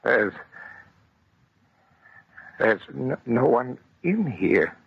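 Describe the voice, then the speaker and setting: A middle-aged actor playing a folksy, placating small-town mayor with a rough, gravelly voice in 1940s radio drama. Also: male voice, mid-range voice, sing-song, very slow, full character voice. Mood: alarmed. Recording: muffled old radio recording.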